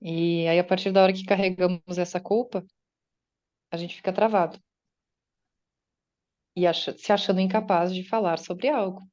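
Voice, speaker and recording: A woman's voice: on the low side, steady tone, normal speed, pauses often, darkish tone, highs cut off. A woman giving a conversational, faith-based motivational talk on an online call, often acting out her listeners' doubts. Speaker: guest speaker and writer. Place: online meeting. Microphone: video call.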